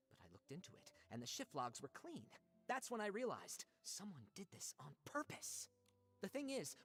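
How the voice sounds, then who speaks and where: Video game role: emphatic, exasperated young worker, highly animated, husky edge. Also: female voice, in a large hall. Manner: expressive, emphatic. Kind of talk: game voice acting.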